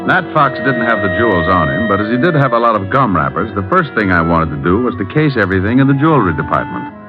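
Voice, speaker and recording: Breezy american private eye, lowish voice, wisecracks, old muffled radio show. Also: male voice, big pitch swings, quick pace, loud music behind the voice. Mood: wry narration. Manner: breezy, teasing. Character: wisecracking, cool-headed male private detective. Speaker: lead actor and narrator. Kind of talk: 1940s-50s radio detective acting.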